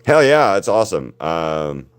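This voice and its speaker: A man with a deep voice, chatting casually with viewers while he paints on a livestream. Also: big pitch swings, measured pace, smooth. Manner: rambling, answers viewers' chat by name. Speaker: artist hosting a livestream.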